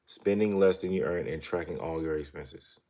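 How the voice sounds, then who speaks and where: A man with an extremely low, deep voice talks casually to his viewers. He rambles through a story and then money rules, and tags almost every thought with "right?". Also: normal speed, dark tone. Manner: rambling, keeps asking "right?". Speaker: channel host.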